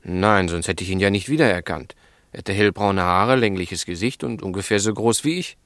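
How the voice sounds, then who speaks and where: An actor playing an agitated, decisive milk bar owner who witnessed a crime, in an emphatic, colloquial German radio drama manner. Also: male voice, low-pitched, normal speed, a bit bright, no room sound. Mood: insistent.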